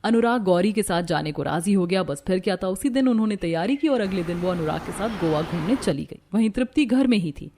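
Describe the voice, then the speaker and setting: A woman's voice: medium pitch, expressive, fairly quick, few pauses, warm tone. A woman narrator reading a scripted family story.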